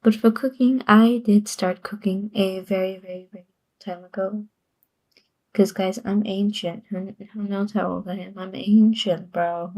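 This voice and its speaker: A woman's voice: mid-range voice, steady tone, measured pace, dark tone, poor audio. A woman streamer chatting casually on a livestream, rambling and giggling often between sentences.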